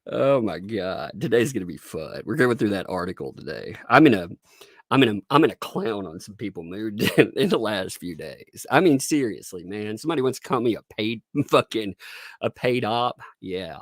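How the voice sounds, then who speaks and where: Deep, husky-voiced man ranting sarcastically. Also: very animated, moderate pace, no echo, studio quality. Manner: profane, sarcastic, laughs often, thanks chat. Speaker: commentary streamer. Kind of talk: casual livestream commentary. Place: home.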